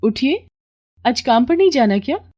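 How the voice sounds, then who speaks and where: Casting: concerned, gentle wife and housemaid in a cartoon moral tale voiced by a female narrator. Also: sits high, big pitch swings, moderate pace, pitched above the performer's own voice. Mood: worried. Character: caring, worried wife of a poor labourer, a housemaid. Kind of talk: animated moral story voice acting.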